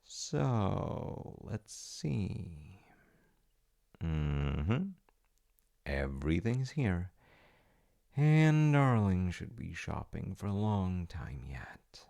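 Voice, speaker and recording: A man acting a deep, creaky-voiced polite wizard. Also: very animated, drawn-out words, pauses often, warm tone, heavily acted. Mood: muttering to himself. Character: eager, secretly magical wizard fiance running an enchantment business. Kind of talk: ASMR audio roleplay acting.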